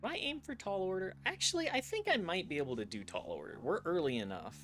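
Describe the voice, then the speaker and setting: A man's voice: high voice, very animated, fast, fairly bright tone, a little hiss or hum. A man streaming a game, chatting reflectively with his viewers as he plays. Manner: reflective, talks to chat while playing. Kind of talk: casual gameplay stream chat. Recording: game music playing behind.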